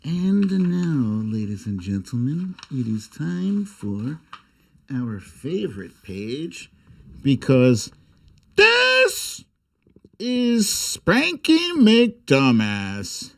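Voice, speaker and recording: A man hosting a comic political livestream, speaking with sarcastic, irreverent bite and theatrical, drawn-out emphasis. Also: on the high side, wildly swooping pitch, creaky, fairly bright tone. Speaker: host of a political humour livestream. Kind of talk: comic political commentary and mock storytime reading.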